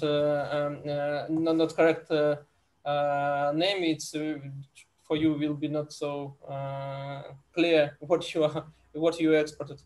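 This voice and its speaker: Halting man tutoring over a video call. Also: a little high, a little mellow. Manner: halting, many fillers and restarts. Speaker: workshop tutor. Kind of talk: online class instruction.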